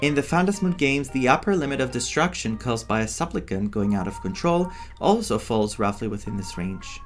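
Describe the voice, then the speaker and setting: A man's voice: mid-range voice, lively intonation, a little fast. A man giving casual playthrough commentary, reading game text aloud and reacting to it, with music behind him. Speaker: gameplay streamer reading and reacting. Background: game music with guitar.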